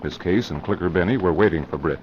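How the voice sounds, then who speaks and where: A man's voice: deep voice, quick pace, close to an everyday voice. A man narrating an old-time radio drama in a dramatic scripted style. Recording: noisy muffled vintage radio recording.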